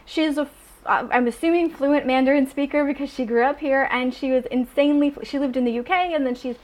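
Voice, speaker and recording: A young adult woman chatting casually in a vlog, animated and full of ums and restarts. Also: high voice, quick pace. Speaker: vlogger.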